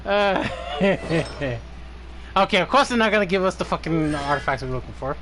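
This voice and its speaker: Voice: mid-range voice, lively intonation, normal speed, noisy background. A game streamer chatting casually, in a teasing, sweary way. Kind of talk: casual live gaming chat.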